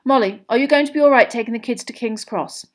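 A woman voicing a hurried, earnest middle-aged father asking anxious questions. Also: fairly high pitch, voice pushed up, natural voice.

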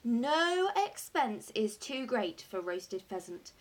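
A woman voicing a jubilant, boastful father in a storybook, excited and grandly emphatic as key words are drawn out. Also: a little high, a little slow, pitched above the performer's own voice, full character voice. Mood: grand, enthusiastic. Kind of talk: acted audiobook dialogue.

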